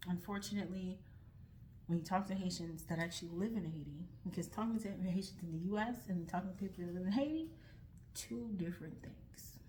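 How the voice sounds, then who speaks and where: A woman's voice: lowish voice, a little fast, dark tone, slight background noise, poor audio. A young American woman speaking earnestly and reflectively to her viewers, often restarting her sentences. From United States. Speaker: vlogger starting a nonprofit. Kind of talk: earnest personal talk to camera.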